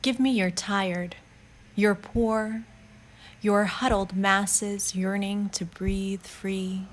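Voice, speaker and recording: A woman's voice: medium pitch, fairly even intonation, extremely slow. A woman reciting a poem in a documentary. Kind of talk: slow poetry recitation.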